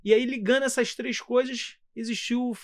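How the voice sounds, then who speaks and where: A man hosting a podcast, asking casual questions in a quick, upbeat way with short acknowledgements. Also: extremely high pitch, steady tone. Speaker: podcast host.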